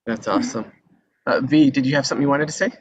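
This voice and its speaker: A man's voice: mid-range voice, quick pace. A man hosting a casual group discussion, handing turns to the others. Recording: muffled video call with clear background noise.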